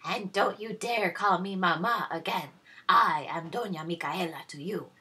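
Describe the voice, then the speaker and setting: A woman's voice: on the low side, unhurried, sharp tone. A woman voicing a cold, haughty stepmother in a children's storybook, speaking in an icy, commanding manner. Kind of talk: read-aloud children's storybook.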